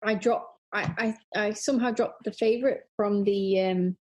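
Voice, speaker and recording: A woman speaking as a podcast guest in casual conversation, a little tentative and halting as she explains. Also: medium pitch, fairly even intonation, darkish tone.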